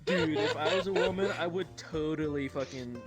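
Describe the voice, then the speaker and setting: A man's voice: a little high, moderate pace, over music. A young man hosting a casual group chat, joking with friends in a crude, profane way. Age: young adult. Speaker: host of a group chat show.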